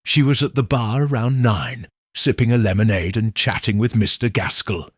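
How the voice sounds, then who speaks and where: A man voicing a sharp young waitress in her twenties, eager to talk, in an audiobook. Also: lowish voice, normal speed, slightly rough, no echo, clean studio sound. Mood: eager, gossipy.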